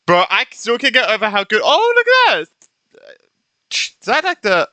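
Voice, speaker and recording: A young man reacting casually to a video game as he streams, excitable and quick to swear. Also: sits high, smooth, dry recording. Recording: game music behind the voice. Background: game music.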